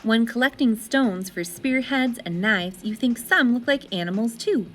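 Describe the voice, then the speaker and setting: Children's story reader: woman, lightly high voice, very lively intonation, deliberate dramatic phrasing, music underneath. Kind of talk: expressive storybook narration.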